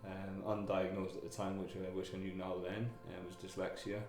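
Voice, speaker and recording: A man from Wales, a guest telling his own story in a candid interview, halting, with many fillers and restarts. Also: sits low, completely flat delivery, a little mellow. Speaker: guest, army veteran. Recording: echoey room with music behind.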